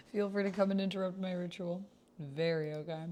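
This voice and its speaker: Woman, lowish voice, casual stream chat, musing and self-questioning, keyboard clatter, background noise. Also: steady tone, moderate pace, dark tone. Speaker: streamer playing a text-based roleplaying game. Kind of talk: casual livestream chat, thinking aloud while reading and typing.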